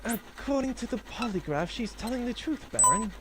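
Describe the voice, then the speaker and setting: An actor playing a timid, deferential henchman in a comedic sci-fi audio drama, speaking quietly, hesitantly and politely. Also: mid-range voice, lively intonation, normal speed, slight background noise, lightly acted. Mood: meek.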